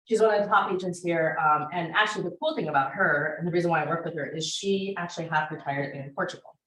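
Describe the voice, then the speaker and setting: A woman's voice: fairly low pitch, fast. Upbeat woman teaching in an echoey room. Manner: chatty and energetic, many fillers. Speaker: real estate class instructor. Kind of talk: teaching a class discussion. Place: classroom. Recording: roomy classroom echo.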